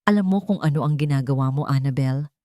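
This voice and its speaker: A man's voice: medium pitch, fast talker, a little mellow, dry recording, clean studio sound, close to an everyday voice. A woman voicing an angry young man who speaks in an accusing, anguished tone, in an audio story. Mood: stern.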